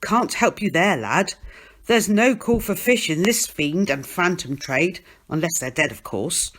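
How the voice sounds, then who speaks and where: A woman's voice: fairly low pitch, animated, measured pace, fairly bright tone. A woman reading a storybook, playing a brusque, dismissive costumed woman from a fairground haunted house.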